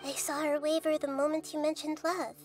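Woman voicing a high-pitched, thoughtful little girl deity. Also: flat delivery, music in the background, lightly acted. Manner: measured, thoughtful, articulate. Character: gentle, wise childlike girl deity. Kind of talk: video game dialogue.

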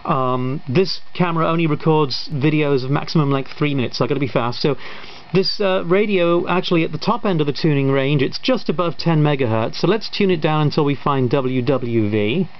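A man's voice: fairly high pitch, fairly quick, few pauses. A man giving a casual, off-the-cuff hobbyist demonstration of a radio. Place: indoors at a radio bench. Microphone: camera microphone. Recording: dull camera audio, loud radio static. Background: shortwave radio noise and signals.

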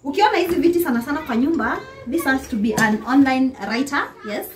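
A young Kenyan woman with a Kenyan accent gives a lively, laughing house tour, filmed on a handheld phone in a small apartment. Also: sits high, fast, faint music behind. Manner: lively, laughing often, talks straight to viewers. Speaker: vlogger giving a house tour. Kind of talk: casual chatty house tour mixing English and Swahili. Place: small apartment, indoors and on a balcony. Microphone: handheld phone camera.

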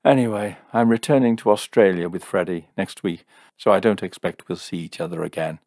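Man voicing a calm, soft-spoken young woman. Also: sits low, fairly quick, dry recording, studio quality. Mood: calm, knowing. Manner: soft-spoken, matter-of-fact. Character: calm, gently reproving young woman with teasing wit.

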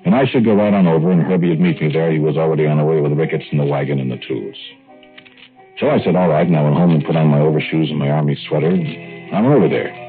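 An actor playing a plainspoken small-town American tradesman in 1940s radio drama, talking in a folksy, rambling, ungrammatical way. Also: a bass voice. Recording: muffled old radio recording with music.

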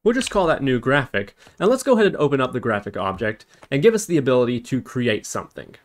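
A man's voice: medium pitch, sing-song, quick pace. A man narrating a step-by-step programming screencast as an instructor, explaining and thinking aloud as he works.

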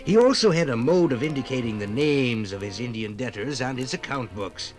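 A man's voice: mid-range voice, lively intonation, brisk, soft background music, natural voice. Man acting frontier fur trader, formal diction, varied intonation, 1950s radio drama. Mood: formal recounting. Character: frontier fur trader reciting his written account.

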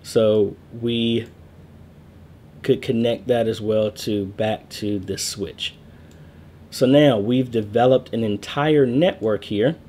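Relaxed man explaining a network diagram. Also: mid-range voice, slow pace, a little hiss or hum. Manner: relaxed, explanatory, with fillers and self-corrections. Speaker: church media volunteer explaining networks. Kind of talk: casual screen-share tutorial.

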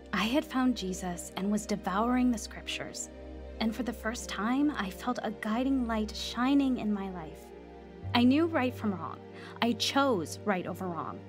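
An actress playing an earnest, anxious young woman in recovery in a heartfelt, expressive radio drama. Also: fairly high pitch. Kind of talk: radio drama acting and first-person narration.

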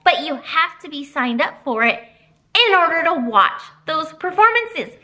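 Woman in her 30s, storytime librarian; high and singsong, with a lively, swooping delivery. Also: a little slow. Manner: animated, sing-song teacher voice. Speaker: children's librarian. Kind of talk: storytime reading and announcements for kids. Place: library children's room, indoors.

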